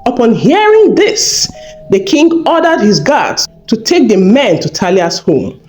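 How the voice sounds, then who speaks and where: A woman storyteller narrating a scripted folktale in a measured, dramatic, expressive way with deliberate phrasing. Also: on the high side. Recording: voice over background music. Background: music.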